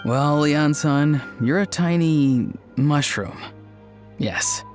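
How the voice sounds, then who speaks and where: An actor playing an earnest, kindly young man in a video game, telling whimsical tales in a gentle, matter-of-fact way. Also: a little high, takes it slowly, faint music behind.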